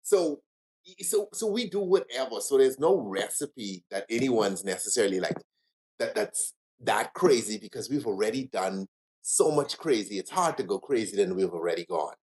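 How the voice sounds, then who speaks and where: A man's voice: fairly low pitch, expressive, normal speed, a little mellow, poor audio. A man from the Bahamas with a Bahamian accent, chatting as a guest bartender in a laid-back, rambling way. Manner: laid-back, rambling, lots of "you know". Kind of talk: casual interview chat.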